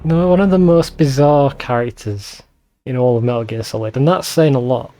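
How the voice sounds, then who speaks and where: A man's voice: medium pitch, normal speed, dark tone. A man giving informal, sweary gaming commentary as a let's play host. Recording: faint game music behind voice. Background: game soundtrack.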